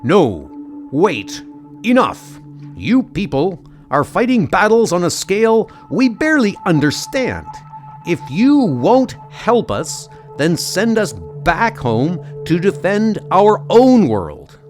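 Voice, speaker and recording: Guy doing an excitable young superhero, urgent and swoopy, comic dub w loud music behind. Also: high-pitched, slow, exaggerated voice. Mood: exasperated, defiant. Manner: excitable, emphatic. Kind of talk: comic-book audio drama dub. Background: loud dramatic music.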